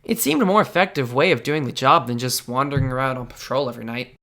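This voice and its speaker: A man's voice: mid-range voice, fast talker, a bit bright, natural voice. A man playing an earnest, insecure teenage amateur superhero in a comic dub, speaking as narrator and in dialogue. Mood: confiding, uneasy. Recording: background music under the voice.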